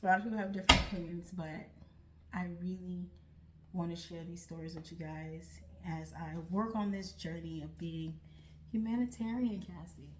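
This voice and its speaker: A woman's voice: on the low side, normal speed, heavy background noise. A young American woman speaking earnestly and reflectively to her viewers, often restarting her sentences. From United States. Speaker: vlogger starting a nonprofit. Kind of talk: earnest personal talk to camera.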